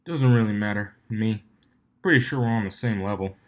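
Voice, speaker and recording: A nonchalant young pegasus stallion played by a man talks in a fairly low voice. He is laid-back and offhand, like casual dialogue in a storybook. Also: fairly quick, lightly acted. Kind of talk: fan fiction story reading.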